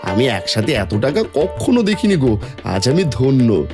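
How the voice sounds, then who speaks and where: A man playing a humble, earnest poor villager in an animated folk tale, speaking with dramatic feeling. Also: medium pitch, a little slow, over music. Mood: moved, grateful.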